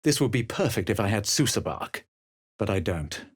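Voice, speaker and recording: Haughty young adventurer, low husky voice, measured theatrical delivery, video game lines. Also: male voice, sing-song, no echo, studio quality, exaggerated voice. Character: haughty, cool young male adventurer hero. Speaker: video game player character. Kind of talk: scripted game voice lines, dramatic narration and barks.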